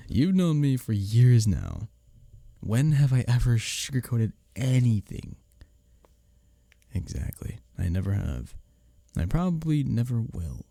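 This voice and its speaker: A man playing a smug, taunting office rival in an intimate roleplay audio drama, sardonic and teasing, with a husky low voice. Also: sing-song, takes it slowly, warm tone, dry recording, clean studio sound, exaggerated voice. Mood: exasperated, sarcastic. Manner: sardonic, teasing, needling, with breathy chuckles.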